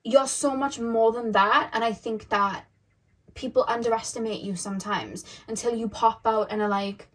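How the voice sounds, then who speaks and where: A woman with a slightly high voice talks through a tarot reading at her desk at home. She is chatty and muses aloud, often repeating her questions to her spirit guides. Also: moderate pace. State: voice going a little deep. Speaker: tarot reader. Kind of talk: casual tarot reading to camera. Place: indoors at home.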